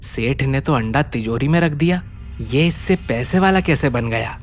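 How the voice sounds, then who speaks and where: A man's voice: medium pitch, animated, moderate pace, lightly acted. Humble village egg seller, voiced by a man, music behind. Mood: puzzled, scheming.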